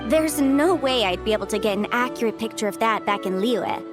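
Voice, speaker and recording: Actress doing perky young lawyer girl from a video game, high voice, swoops around a lot, music behind. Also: brisk, close to an everyday voice. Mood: chatty, upbeat. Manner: bubbly, chatty, expressive. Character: cheerful young female legal consultant with adeptus blood. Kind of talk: animated video game voice acting.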